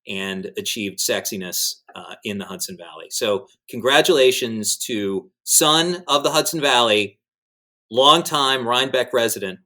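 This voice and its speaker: A man's voice: medium pitch, unhurried, sharp tone. A man speaking as a guest in podcast chat.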